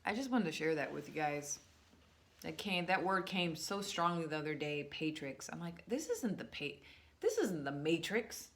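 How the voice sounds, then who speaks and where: A woman talking to her viewers in a casual, rambling monologue, slowing down to stress words and restarting her sentences often. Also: low voice, sing-song. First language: English. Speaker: vlogger.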